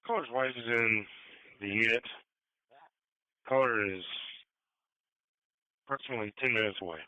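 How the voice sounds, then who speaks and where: Guy dispatching on scanner radio, medium pitch, terse, phone-like sound. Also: moderate pace, pushed and bright, smooth, no room sound. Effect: two-way radio.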